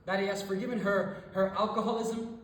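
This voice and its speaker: An actor performing a theatrical monologue as a rambling, sentimental storyteller, speaking casually with halting, emotional repetitions. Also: medium pitch, steady tone, a bit bright, natural voice. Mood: bittersweet, intense. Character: rambling, sentimental storyteller moved by a family reunion, turning zealous. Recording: very echoey hall, distant mic, music behind.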